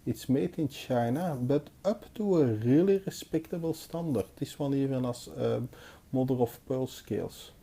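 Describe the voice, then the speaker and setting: Mellow, dark-voiced Belgian man reviewing. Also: medium pitch, creaky, husky. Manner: hesitant, halting, unscripted delivery. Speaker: knife collector and reviewer. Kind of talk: casual hands-on review commentary. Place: indoors, at a table.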